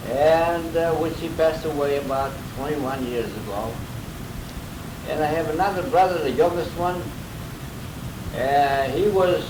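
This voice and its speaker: An older man in his eighties, from Greece, recalls his early life in a rambling way, chuckling between memories. His voice sits a bit high for a man and is heard from well off the mic. The room rings, and a steady hiss runs under the home tape. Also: reverberant, recorded from a distance. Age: 80s. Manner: chuckling, rambling storyteller with restarts. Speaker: interviewee, grandfather. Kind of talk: casual oral-history interview.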